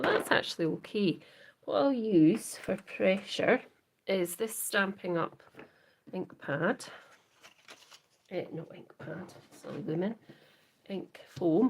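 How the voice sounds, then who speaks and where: A Scottish woman hosting a live crafting demo, chatting softly to viewers and often trailing into whispers as she works. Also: lowish voice, gravelly, a little hiss or hum. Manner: soft, often trailing into whispers while working. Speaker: craft livestream host.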